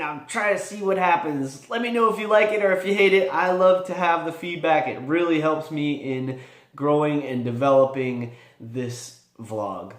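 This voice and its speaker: A man talking casually as a tabletop gaming vlogger, rambling and thinking aloud in an animated way. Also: fairly high pitch. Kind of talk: casual, rambling vlog talk. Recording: echoey room.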